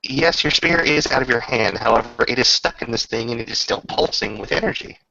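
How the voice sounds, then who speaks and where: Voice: mid-range voice, brisk, gravelly. A game master running a casual tabletop roleplaying session in an informal, swearing way. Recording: muffled lo-fi with faint noise.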